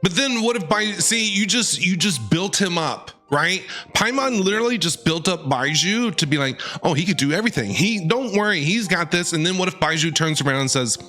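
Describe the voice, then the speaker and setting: A man giving casual reaction commentary as a game streamer, excitable, repeating words and exclaiming. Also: high voice, fairly quick, hardly any pauses, faint music behind.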